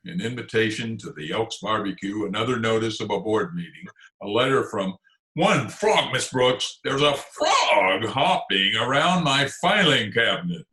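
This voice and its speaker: Radio comedy principal, male actor: pompous, excitable, sharp-edged voice on an echoey remote recording. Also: lowish voice, normal speed. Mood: bossy, exasperated. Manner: pompous, blustering. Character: pompous, easily panicked male school principal. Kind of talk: old-time radio comedy acting.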